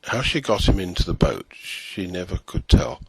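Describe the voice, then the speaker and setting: A man reading a fairy tale aloud as an expressive storyteller. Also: sits low, moderate pace, vocal fry, background noise.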